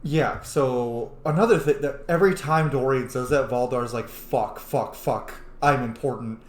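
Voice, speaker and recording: A man's voice: mid-range voice, unhurried, roomy sound. A man hosting a gaming video with casual, sweary roleplay commentary, speaking his character's thoughts aloud.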